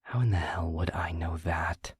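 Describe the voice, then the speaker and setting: A man playing a sarcastic, defiant male vampire outcast in an audio roleplay, grudging and belligerent, with a very raspy, half-whispered voice. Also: deep voice, normal speed, dry recording, clean studio sound, pitched above the performer's own voice. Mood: sarcastic, hostile. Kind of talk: acted fantasy audio roleplay.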